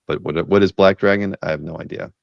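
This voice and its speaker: Man, hoarse from a cold, very low voice, rambling fan chat, dry lo-fi call audio. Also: fairly even intonation, fast, no room sound. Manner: rambling, enthusiastic, many fillers. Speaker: podcast host. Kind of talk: casual chat reviewing art. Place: home office, video call.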